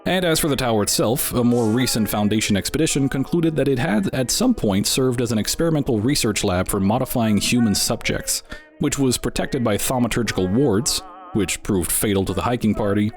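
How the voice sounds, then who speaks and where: A male host delivers scripted narration in a mid-pitched voice. His manner is dry and tongue-in-cheek, like wry commentary on a strange tale. Music runs underneath. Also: animated, a little fast. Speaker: show host. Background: background music.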